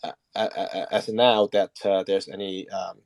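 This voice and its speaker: Man, low gravelly voice, dry sound, hesitant, lots of uh and um. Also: flat delivery, a little mellow, dry recording. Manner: halting, heavy fillers and restarts. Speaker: interviewer, community host. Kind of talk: asking questions in an online AMA.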